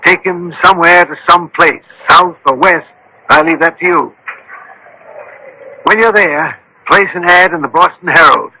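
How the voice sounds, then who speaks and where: A brilliant, commanding detective in a radio drama. His voice is slightly high and very bright and forceful. He gives formal, exact orders with complete authority, over a radio-broadcast sound. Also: measured pace, long pauses, slightly rough, exaggerated voice, poor audio. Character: commanding, precise scientist-detective, a brilliant thinking machine. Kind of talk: 1970s-80s radio drama acting.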